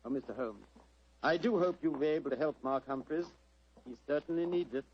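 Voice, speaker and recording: An actor playing a polite, worldly theatre manager, slightly hesitant, in old radio drama style on a noisy recording. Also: male voice, fairly high pitch, a little fast, lo-fi sound, close to an everyday voice. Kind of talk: 1940s radio drama acting.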